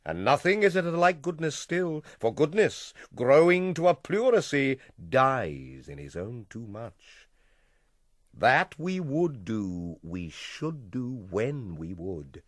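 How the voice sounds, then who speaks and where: A man's voice: medium pitch, sing-song, no room sound. Scheming, manipulative middle-aged king: hugely varied intonation, close dry sound, theatrical Shakespearean radio drama. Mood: persuasive, goading. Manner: theatrical, deliberate, persuasive delivery with dramatic swells.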